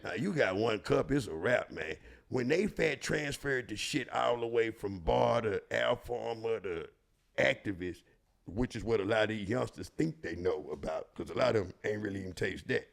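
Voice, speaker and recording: A man with a heavy gravel and fry in his voice speaks in a Houston, Texas accent. He tells stories casually, in slangy, profane, emphatic street talk that circles back on phrases. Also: fairly low pitch, expressive, moderate pace. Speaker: storyteller and show regular.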